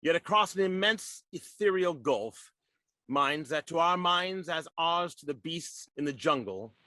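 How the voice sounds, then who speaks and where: A man playing a grave, ominous narrator in 1930s-style radio drama, slow, solemn and theatrical. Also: on the high side, animated, bright, forceful tone, no echo.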